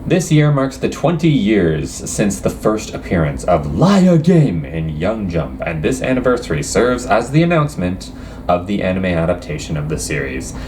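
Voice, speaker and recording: Young man, deep low voice, casual rambling reaction host, faint background hiss. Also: very animated, normal speed, darkish tone. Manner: rambling, hesitant, self-deprecating, reads quotes aloud. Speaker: channel host reacting to anime news.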